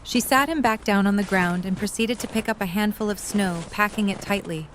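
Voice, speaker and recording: A woman's voice: mid-range voice, fairly quick, poor audio. Female story narrator, faint background noise.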